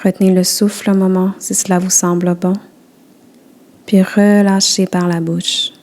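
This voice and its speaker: Female relaxation guide, warm mellow tone, gentle soothing delivery, very unhurried. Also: on the low side, flat delivery. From Quebec. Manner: slow, soft, soothing instructions. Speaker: yoga nidra guide.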